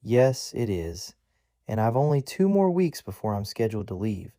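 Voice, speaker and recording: A man's voice: lowish voice, big pitch swings, normal speed, dark tone, no room sound, studio quality. A man voicing an earnest young man who talks with a slightly condescending, big-brother tone. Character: young man, earnest undercover agent, sibling-like.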